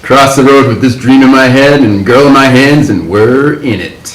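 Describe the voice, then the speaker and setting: A man playing an exuberant, slangy 1950s hipster writer who talks in jazzy, animated bursts. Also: mid-range voice, moderate pace, natural voice. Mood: jazzy, excited. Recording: roomy echo.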